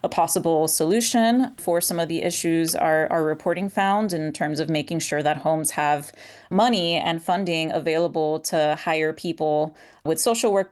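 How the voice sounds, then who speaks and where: A woman reporter giving measured, explanatory answers in an interview. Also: sits low, fairly even intonation, darkish tone. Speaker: newspaper reporter.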